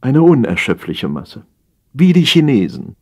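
A man's voice: mid-range voice, wildly swooping pitch, a little slow, pitched above the performer's own voice. A man voicing a practical, resigned and exasperated wife in a dry, declarative manner, in an audiobook satire.

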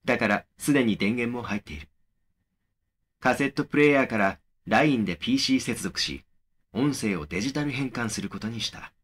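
A man playing a young man who narrates his eerie experience in a horror audio drama, measured and serious. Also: mid-range voice, animated, fairly bright tone, husky. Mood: serious, suspenseful. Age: young adult. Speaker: first-person narrator of a horror story.

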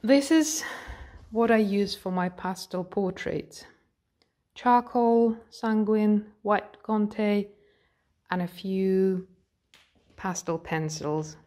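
A woman with a British accent, a portrait artist, calmly explaining her home studio as she films it on a phone. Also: mid-range voice, drawn-out words. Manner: calm, explanatory, pointing things out. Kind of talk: guided studio tour narration. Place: home art studio, indoors.

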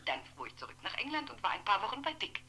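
An actress playing a wistful, rambling former lover on the telephone in a 1960s radio play. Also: female voice, mid-range voice, rapid-fire, slightly rough, full character voice. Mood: gossipy. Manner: rambling, digressive, expressive. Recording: humming old radio play, telephone sound.

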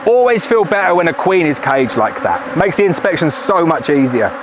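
A high-voiced man talks cheerfully while he works through a hive at an outdoor apiary. His voice comes from a camera set a little way off on a tripod. A faint buzz of bees hangs behind him. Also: sing-song, normal speed, noisy background. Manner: chatty, upbeat, talks while working with the hive. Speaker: beekeeper. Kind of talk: casual hands-on video narration. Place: outdoor apiary at a forest edge, in the evening. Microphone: camera on a tripod at a distance, wearing a bee suit veil. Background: faint outdoor buzzing of bees.

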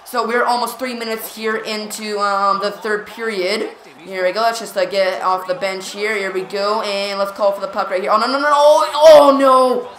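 A woman giving excited play-by-play of a hockey video game, stuttering and repeating words as she reacts. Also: mid-range voice, moderate pace, few pauses. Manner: jumpy, reactive, lots of "come on" and "here we go". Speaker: gaming channel host. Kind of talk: excited live gameplay commentary. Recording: roomy echo.

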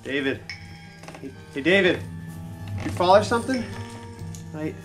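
A man acting as an uneasy, frightened young man in a horror audio drama, speaking nervously and haltingly. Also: fairly high pitch, lively intonation, soft background music, low-quality recording. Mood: alarmed. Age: young adult.

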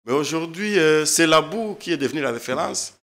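A man's voice: fairly high pitch, lively intonation, brisk, fairly bright tone. Impassioned male guest in TV studio. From eastern Congo. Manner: impassioned, emphatic, repeats words. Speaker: lawyer and guest. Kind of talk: studio political interview. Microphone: desk microphone.

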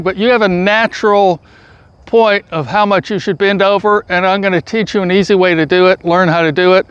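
Middle-aged male golf instructor, high-pitched, patient and steady, recorded outdoors with insects buzzing behind. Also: smooth, dry recording, highs cut off. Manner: calm, patient step-by-step instruction. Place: outdoors on a grassy field. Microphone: far camera, full-body framing. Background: crickets and insects outdoors.

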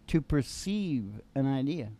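A man's voice: medium pitch, big pitch swings, takes it slowly, mellow. An older man hosting in a casual, rambling motivational style with a folksy, teasing manner, speaking into a stage microphone. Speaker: podcast host and musician. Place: small curtained stage studio. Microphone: stand-mounted vocal microphone. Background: acoustic guitar.

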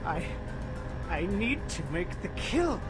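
An actor playing a menacing male serial killer in a video game, slow and obsessive. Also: high voice, very animated, over music, exaggerated voice.

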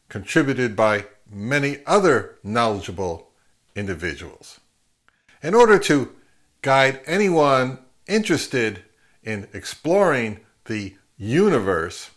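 A middle-aged man hosting an advice vlog, speaking to camera in an emphatic way with deliberate pauses. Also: medium pitch, a bit bright. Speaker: host of an audio gear channel. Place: home listening room, later an open grassy field.

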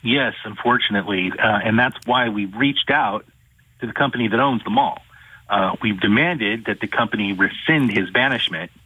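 A man, an attorney, calling in to an interview and recounting a case in a measured way. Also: on the low side, pushed and bright, breathy edge, no echo. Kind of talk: phone-in interview. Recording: tinny phone line.

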